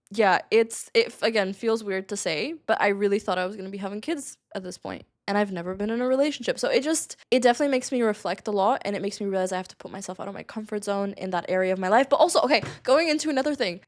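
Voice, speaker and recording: A woman in her twenties from Latvia, chatting candidly to camera in a confiding way, with many "like"s and brief laughs. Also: mid-range voice. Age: 20s. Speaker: vlogger. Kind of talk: candid personal chat to camera.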